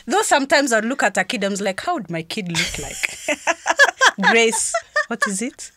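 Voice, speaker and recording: A woman's voice: on the high side, huge pitch swings, moderate pace, sharp tone, a little hiss or hum. A young adult woman hosting a podcast, chatty and giggly, often restarting her sentences. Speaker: podcast host. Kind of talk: casual chat.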